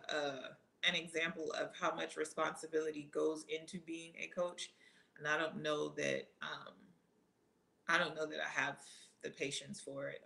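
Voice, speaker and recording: A woman's voice: low-pitched, creaky. A woman in her thirties, a track athlete, casually answering fan questions with many ums and easy laughs. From Trinidad and Jamaica family roots, living in the US. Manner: relaxed, many ums, reads questions aloud then answers. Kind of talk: casual live Q&A.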